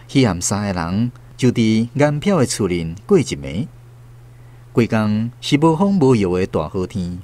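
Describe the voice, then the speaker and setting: A man's voice: medium pitch, darkish tone. A man with a Taiwanese accent narrating a radio drama as a scripted storyteller. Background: music.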